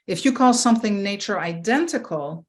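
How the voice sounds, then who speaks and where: A woman's voice: mid-range voice, lively intonation, moderate pace. A woman guest from the fragrance and flavor industry, explaining things in casual conversation and often adding tag questions. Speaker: guest, former industry professional.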